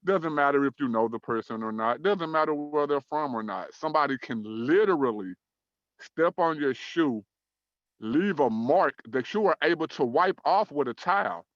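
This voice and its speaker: A man in his thirties from Dallas, Texas, hosting an online talk show in a measured, earnest way, with frequent "um" and "uh" fillers. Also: on the high side, animated, no room sound, highs cut off. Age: 30s. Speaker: host.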